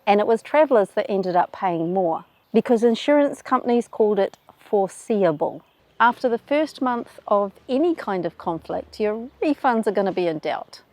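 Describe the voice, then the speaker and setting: A woman with a New Zealand accent hosting a travel explainer in a brisk, wry, plainspoken way. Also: medium pitch, animated, a little mellow, no echo. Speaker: travel video host. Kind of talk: scripted explainer.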